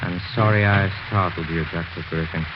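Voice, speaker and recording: An actor playing a grief-crazed, obsessive widower in an intense, insistent 1940s radio horror style. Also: male voice, low voice, steady tone, moderate pace, close to an everyday voice. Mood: hushed, morbid waiting. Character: grief-crazed, obsessive widower awaiting his dead wife. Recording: noisy, muffled 1940s radio recording.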